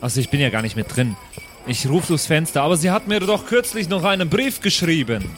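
A man's voice: fairly high pitch, big pitch swings, brisk, fairly bright tone, soft background music. A man improvising casually as a player in a tabletop role-playing game. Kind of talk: casual improvised in-character play. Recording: clear background noise.

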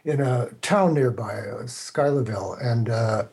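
A man's voice: mid-range voice, a little slow, creaky, a little mellow, slightly rough. A man speaking casually as a guest in an interview, a radio storyteller talking about his work. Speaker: guest, radio drama writer-producer.

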